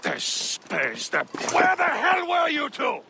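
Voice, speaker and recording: A pompous, hot-tempered French captain for a video game. The voice is high for a man and gravelly, swinging widely in pitch as he scolds, over faint noise. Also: wildly swooping pitch, slow pace, sharp tone, heavily acted. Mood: furious.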